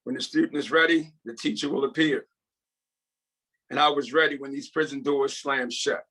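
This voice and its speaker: A man reading the part of a repentant, humble prison inmate, earnest and deferential, in a halting script table read. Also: medium pitch, steady tone, sharp tone, husky, poor audio. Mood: earnest, respectful. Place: video call.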